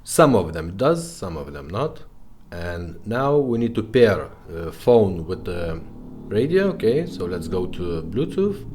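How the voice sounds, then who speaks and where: A man's voice: sits low, big pitch swings, slow pace, darkish tone, slight background noise. A man giving a casual, informal product demo, often checking in with short tag questions as he explains. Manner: informal, checks in with "okay?" and "right?". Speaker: presenter and car radio retrofitter.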